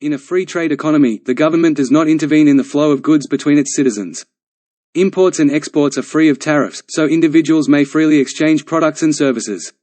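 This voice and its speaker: A man's voice: on the high side, brisk, a little mellow. A man reading scripted text aloud as an exam practice narration. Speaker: reader of exam practice passages.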